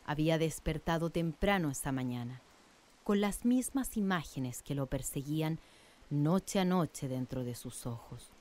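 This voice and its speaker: A woman narrating a scripted radio drama in a measured, literary storytelling manner. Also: deep voice, lively intonation, a little mellow.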